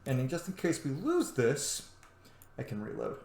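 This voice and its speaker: A man's voice: mid-range voice, wildly swooping pitch, normal speed, a little mellow. A man hosting a video game playthrough with casual, conversational commentary. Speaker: gamer, video host. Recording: roomy echo, game music behind.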